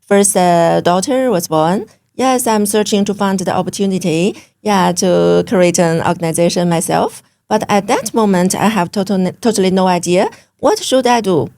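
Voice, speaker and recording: A woman from China speaking English with a Chinese accent as an interview guest, pausing often with fillers. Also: mid-range voice, gravelly, a little mellow. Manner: hesitant, many fillers, simple English. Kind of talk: interview conversation.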